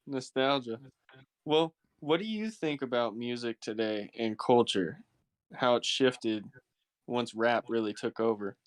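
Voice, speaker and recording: A man's voice: medium pitch, unhurried. A man, hosting a podcast and chatting casually. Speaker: podcast host. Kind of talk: casual chat.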